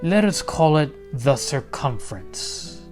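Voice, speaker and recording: A man's voice: on the high side, sing-song, darkish tone. Noble storybook king, played by a male reader, commanding and kindly, projected proclamations, faint music. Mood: proud, ceremonial. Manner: proclaiming, deliberate, stately.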